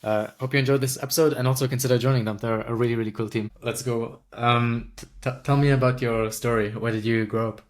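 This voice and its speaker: A man hosting a founder interview podcast. He asks his questions in a relaxed, slangy, conversational way, with plenty of "um" and "like". His voice sits at a middling pitch. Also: fast, few pauses. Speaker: podcast host. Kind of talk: casual interview.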